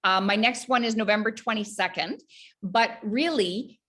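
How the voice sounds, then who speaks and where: A woman from Canada speaks as a guest on a health interview. She talks earnestly and encouragingly, pausing on fillers and restarting phrases as she explains her coaching work. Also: mid-range voice, sharp tone. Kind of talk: casual interview talk.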